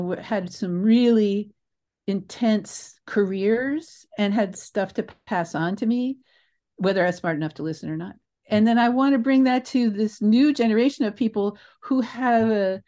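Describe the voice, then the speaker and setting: A woman's voice: medium pitch, a little mellow. A woman in her sixties talking in an interview as a theatre creator, telling anecdotes in a digressive, emphatic way. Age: 60s. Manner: rambling, digressive, emphatic storyteller. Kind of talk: conversational interview answers, anecdotal.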